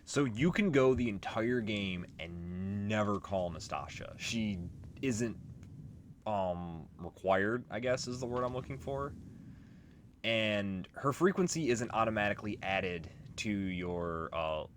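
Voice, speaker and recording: A man's voice: fairly low pitch, slow pace, slight background noise. A man giving casual, informal, sweary video game commentary as a let's play host. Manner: profane, informal.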